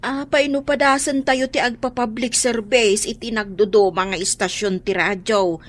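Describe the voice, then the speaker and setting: An actress with an Ilocano accent playing a practical, eager younger relative, persuasive in a radio drama. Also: female voice, on the high side, fairly even intonation, normal speed, lightly acted. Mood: earnest.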